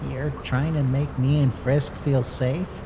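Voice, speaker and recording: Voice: mid-range voice, steady tone, takes it slowly, in a large hall, background noise. An actor voicing a moody, curious young male talking flower in a fan comic dub, blunt yet hesitant.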